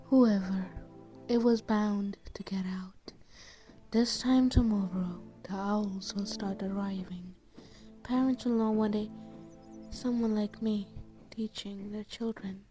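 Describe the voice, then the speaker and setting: An actor playing a weary, gentle, resigned male teacher in an audio drama, speaking softly and hesitantly. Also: medium pitch, fairly even intonation, slow, soft background music, voice pushed up, natural voice. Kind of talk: scripted audio drama acting.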